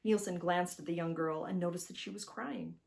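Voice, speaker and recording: Expressive woman reading a children's storybook. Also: fairly low pitch, fairly quick, gravelly. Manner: addresses the listener directly, emphatic storytelling. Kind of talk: expressive scripted storybook narration.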